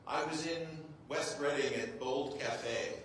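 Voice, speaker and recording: A man's voice: on the high side, takes it slowly, fairly bright tone. A man, a rabbi, giving an informal talk to a group, with self-deprecating humor and frequent "right?" check-ins to his listeners. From United States. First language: English. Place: large echoey room with an audience. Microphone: clip-on mic, heard from a distance. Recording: very echoey hall, distant mic, faint noise.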